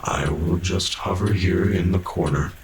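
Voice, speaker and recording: A deep, slow-spoken cyborg guardian of great age, acted by a man, gentle and awkwardly kind. He picks his words with halting formality in an audio drama, over clearly audible noise. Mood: gentle, apologetic. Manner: halting, careful, softly formal. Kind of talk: fan audio drama acting.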